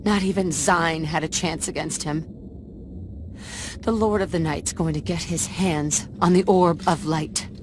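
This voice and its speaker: A woman's voice: low-pitched, steady tone, unhurried. A woman acting a haunted, determined warrior in a video game, curt and intense with a defiant edge. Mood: bitter, defiant. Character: embittered, determined female warrior, haunted but resolute. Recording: clear background noise and ambience.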